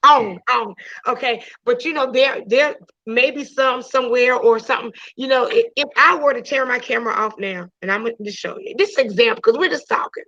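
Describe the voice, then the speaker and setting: A woman's voice: fairly high pitch, a little fast, no room sound. Chatty teacher woman on a video call. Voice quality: loud voice. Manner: chatty, repeats and restarts, many "you know"s. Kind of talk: casual online class talk. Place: home during a video class. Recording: dull lo-fi video call audio.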